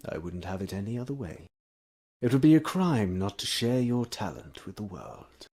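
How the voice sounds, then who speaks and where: A man playing an earnest Victorian doctor with a dark, suave side, in a husky voice, in stage melodrama style. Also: on the low side, big pitch swings, moderate pace, full character voice. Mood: suave, predatory. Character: exhausted earnest male doctor devoted to science; also his suave alter ego.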